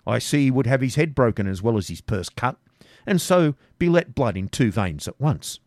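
A man's voice: mid-range voice, expressive, moderate pace, no echo, clean studio sound, pitched above the performer's own voice, close to an everyday voice. A man voicing a bold, mocking outlaw leader who gives harsh commands. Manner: taunting, commanding.

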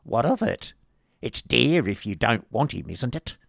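Man as a snappish, scornful wife, raised pitch. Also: big pitch swings, measured pace, creaky, a bit bright, no echo, clean studio sound, full character voice. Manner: snappish, contemptuous. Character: sharp-tongued, scornful, impatient schoolmaster's wife.

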